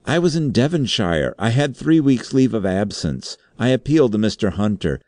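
A man's voice: lowish voice, big pitch swings, normal speed, a little mellow, no room sound, clean studio sound, pitched above the performer's own voice. A man voicing a terrified, guilty undersecretary in an audiobook story, pleading in a frantic, wailing manner. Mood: panicked, protesting. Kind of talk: audiobook character acting.

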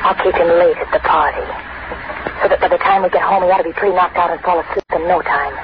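A woman playing a cool, scheming wife giving brisk instructions in a 1940s radio thriller. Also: fairly low pitch, steady tone, natural voice. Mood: coolly conspiratorial. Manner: brisk, directive. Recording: noisy muffled 1940s radio recording.

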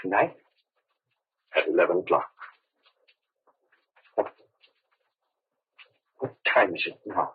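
An actor in 1930s radio drama playing a despairing, bewildered condemned prisoner with a weary, anxious, halting delivery. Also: male voice, low voice, expressive, slightly rough, heavily acted. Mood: bitter, resigned. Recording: muffled old radio recording.

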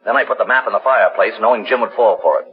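Man playing a low-voiced cornered villain, old radio. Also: racing, lightly acted. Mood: scheming. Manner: defiant, hard-edged, protesting. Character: cornered, scheming male murderer posing as salesman. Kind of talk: 1940s radio drama acting. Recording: dull vintage 1940s radio recording.

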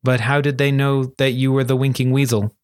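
A man voicing a quiet, thoughtful schoolboy with a calm, reserved manner in a storybook reading. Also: mid-range voice, brisk, clean tone, no echo, studio quality, lightly acted. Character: quiet, level-headed schoolboy, measured and thoughtful.

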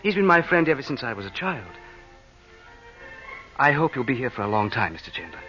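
An actor playing an earnest, self-deprecating young European prince in a 1940s radio drama. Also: male voice, medium pitch, very animated, fairly quick, bright, forceful tone. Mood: confiding, earnest. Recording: dull vintage radio recording with music.

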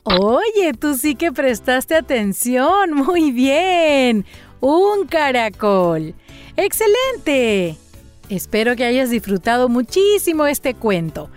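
A woman hosting a children's story channel, running a playful quiz and praising each answer in a coaxing way. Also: high voice.

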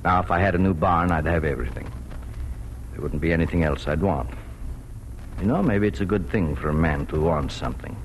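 An actor in a 1940s radio drama playing a weary, gentle immigrant farmer father who talks plainly and haltingly. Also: a bass voice, low-quality recording. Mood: resigned, tender. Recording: hissy vintage 1940s radio recording.

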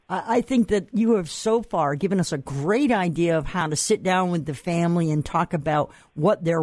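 A man's voice: on the high side, darkish tone, dry recording. An older man with a Massachusetts accent hosting an interview, talking in a chatty, rambling way with restarts and fillers. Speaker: host. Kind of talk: radio or podcast interview.

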